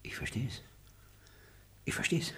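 A man's voice: takes it slowly, sharp tone, whispered. An actor playing a boastful, stingy male bailiff who mocks a maid and mutters asides in a radio play. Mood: smug. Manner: smug, mocking, muttering asides.

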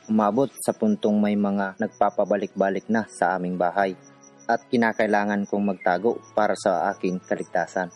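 Casting: actor as a resolute grassroots activist, lower-pitched man, earnest radio drama narration over soft music. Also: steady tone, moderate pace, close to an everyday voice. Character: earnest, determined urban poor activist telling his story. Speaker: narrator and protagonist.

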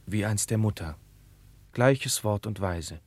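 Solemn young prince, lowish voice. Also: male voice, slow pace, a little mellow, no room sound. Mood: mournful, tender. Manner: lyrical verse delivery. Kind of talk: classical German radio play acting.

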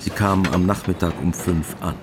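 A man narrating a scripted radio drama in a deadpan, matter-of-fact way. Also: low voice, brisk, very noisy, close to an everyday voice.

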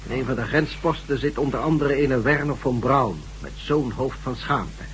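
A man plays a brisk chief inspector in a radio play. His mid-pitched voice is firm and clipped, very official, over a faintly noisy old recording. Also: expressive, fairly bright tone, close to an everyday voice. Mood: brisk, businesslike. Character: brisk, dutiful male police chief inspector. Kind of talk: Dutch radio drama acting.

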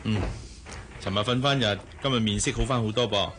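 Low-voiced, gentle father and boss played by a man. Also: fairly quick, slight background noise, natural voice. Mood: friendly, persuasive. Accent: Hong Kong Cantonese. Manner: soft, coaxing. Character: gentle, persuasive male boss and young father. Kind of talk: radio drama acting.

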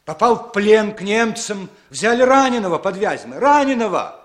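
A man's voice: high-pitched, very animated, normal speed. An actor playing an evasive, bitter long-lost older brother in a 1960s Soviet radio drama, emotional, repeating his words. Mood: defensive, pleading.

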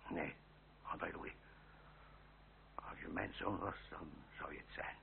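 Loyal, gentle older uncle, deep breathy murmur, earnest period radio drama, narrow humming old recording. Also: male voice, fairly even intonation, unhurried, heavily acted. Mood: confiding. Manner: hesitant, tender, earnest. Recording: old muffled radio play, faint hum.

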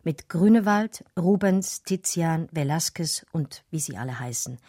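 An actress in a radio drama playing an emotional, wistful art historian, with a breathy, husky voice that often sinks toward a whisper. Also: female voice, sits low, lively intonation, measured pace, mellow, dry recording, studio quality, heavily acted. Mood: wistful, reflective. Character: distraught art historian recalling a lost lover, wistful and urgent. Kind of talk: radio drama dialogue and intimate first-person narration.

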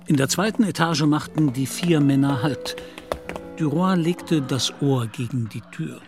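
A man's voice: a little high, a little slow. Male radio play narrator over music.

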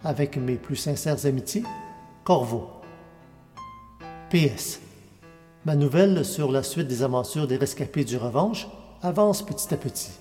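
A male podcast host with a mellow, mid-pitched voice reads listener mail and replies. His delivery is courteous and formal, with soft guitar music playing behind him. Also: expressive, measured pace, pauses often. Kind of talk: scripted reading of letters and replies.

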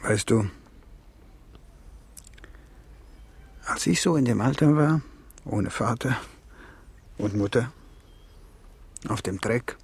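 An actor in a German radio play playing a proud, defensive, troubled middle-aged father with a rough, raspy voice. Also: medium pitch, measured pace, a little mellow. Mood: bitter.

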